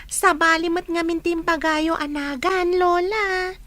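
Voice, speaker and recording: A woman's voice: very high voice, animated, slow, bright, forceful tone, full character voice. A woman playing a curious little girl in a radio drama. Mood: amused. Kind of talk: Filipino radio drama acting.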